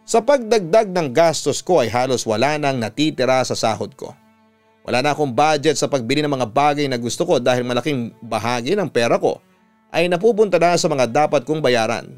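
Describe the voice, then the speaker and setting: A man with a Filipino accent narrating a personal story as a radio storyteller, in a smooth, resonant voice. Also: medium pitch, expressive, fairly quick, soft background music. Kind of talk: scripted dramatic narration of a listener's letter.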